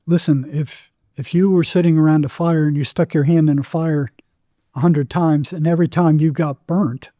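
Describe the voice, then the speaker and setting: Very mellow-voiced man giving an interview. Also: fairly high pitch, steady tone, normal speed, dry recording, studio quality. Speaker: psychotherapist, podcast guest. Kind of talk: anecdotal interview talk.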